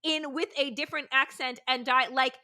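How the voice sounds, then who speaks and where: A woman's voice: high voice, steady tone, moderate pace, bright, forceful tone. A woman hosting a casual political chat, speaking emphatically and frankly. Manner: emphatic, forthright commentary. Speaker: podcast host.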